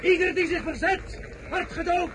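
An actor playing a grandiose, raving young general with bombastic delivery in a radio drama. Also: male voice, very high-pitched, steady tone, moderate pace, a bit bright. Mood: dazed, defiant. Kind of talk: theatrical radio drama acting. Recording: radio drama with faint crowd noise.

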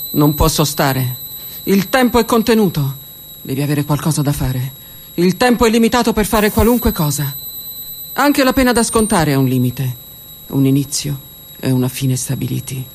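A woman performing a dramatic first-person monologue in a deliberate, theatrical manner with weighted pauses. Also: fairly low pitch, animated, slight background noise. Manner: theatrical, deliberate, with pauses and hushed near-whispered phrases. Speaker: theatre performer and prison drama workshop leader.

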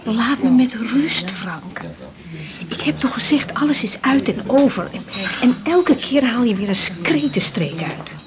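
An agitated young Dutch woman, very expressive. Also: sits high, background noise. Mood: exhausted, upset. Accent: Dutch from the Netherlands. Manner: agitated, halting, often drops to a breathy whisper. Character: grieving, worn-out young woman doctor, nervous and fed up. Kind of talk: naturalistic 1980s radio drama acting.

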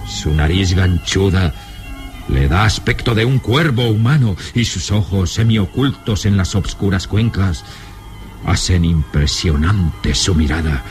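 A man narrating a gothic adventure radio serial in a solemn, suspenseful manner with deliberate phrasing. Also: low voice. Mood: solemn, ominous. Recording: old radio serial with dramatic music. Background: dramatic music.

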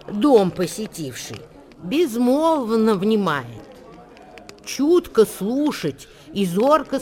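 An actress in a radio play voicing a solemn female reciter of ancient wisdom verse, measured and declamatory in delivery. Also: mid-range voice, a little mellow. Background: music.

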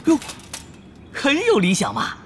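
An actor playing a brash, bossy young male leader of an online game party in an animated Chinese audio drama, with slangy excitable delivery. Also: high-pitched, measured pace, background noise, exaggerated voice. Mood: breezy. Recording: loud background music.

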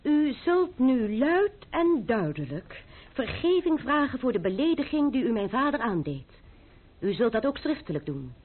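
A woman's voice: on the high side, very animated, unhurried, husky, full character voice. An actress playing a proud, defiant young French noblewoman in a Dutch radio play, haughty and scornful in manner. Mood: commanding. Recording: narrow-band, muffled old radio recording.

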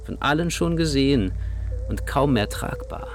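Guy playing a morose detached man, medium voice, solemn and measured, radio drama w music under it. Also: medium pitch, animated, close to an everyday voice. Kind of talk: German literary radio drama acting.

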